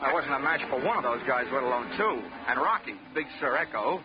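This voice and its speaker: A man's voice: medium pitch, expressive, quick pace, music in the background, natural voice. Man as a wry American detective, muffled radio. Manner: dry, wisecracking. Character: wry, hard-boiled male private investigator, sardonic and unflappable. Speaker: lead actor and narrator. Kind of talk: 1940s radio detective narration and acting. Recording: muffled 1940s radio recording.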